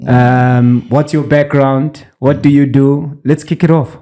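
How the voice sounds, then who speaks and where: A man's voice: medium pitch, fairly even intonation, takes it slowly, warm tone. A man hosting a podcast in a studio, chatty and teasing, with frequent fillers as he asks questions. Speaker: podcast host. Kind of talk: casual interview.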